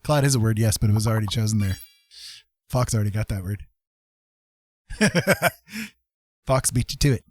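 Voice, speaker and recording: A man's voice: lowish voice, normal speed, long pauses, a little mellow, dry recording, studio quality. A man hosting a casual livestream, commenting on a game in an encouraging, jokey manner. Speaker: livestream host.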